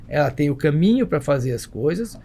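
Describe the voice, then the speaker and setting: A gravelly Brazilian man speaks at a quick clip on the higher side. He is an emphatic, jokey talker who repeats words for weight and asks rhetorical questions, as a business owner being interviewed. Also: sing-song, mellow. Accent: Brazilian Portuguese. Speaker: travel agency entrepreneur, podcast guest. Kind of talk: animated conversational interview.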